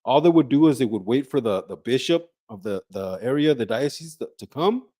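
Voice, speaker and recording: A man's voice: medium pitch, lively intonation, a little fast, dark tone. A man hosting an interview, asking questions with many ums and restarts. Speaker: host. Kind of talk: casual interview.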